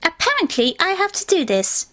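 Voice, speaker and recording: A woman's voice: extremely high pitch, wildly swooping pitch, moderate pace, fairly bright tone, full character voice. A woman voicing a vain, bratty young pony princess with an imperious, boastful manner in a cartoon fan fiction reading. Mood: annoyed, scornful.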